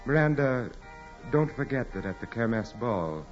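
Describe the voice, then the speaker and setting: An actor playing a proud, imperious aristocratic landowner with formal, measured speech in a 1940s radio drama. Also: male voice, mid-range voice, big pitch swings, lightly acted. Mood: suave, courtly. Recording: muffled 1940s radio recording with music.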